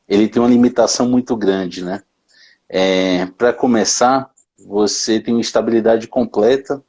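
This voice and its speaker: A man, answering interview questions in a relaxed way, often adding tag questions. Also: lowish voice, breathy edge. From Bahia, Brazil. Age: 30s. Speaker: interview guest.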